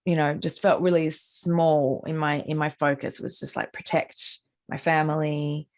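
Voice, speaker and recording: Husky, gravelly Australian woman musician. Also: low-pitched, flat delivery, a little slow. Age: middle-aged. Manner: rambling, many likes and restarts. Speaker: musician interviewee. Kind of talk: casual interview answers.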